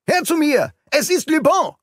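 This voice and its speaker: A man's voice: a little high, huge pitch swings, normal speed, no echo, clean studio sound, pitched above the performer's own voice, full character voice. A man voicing an earnest young amateur detective in an audiobook, speaking in an urgent, coaxing way.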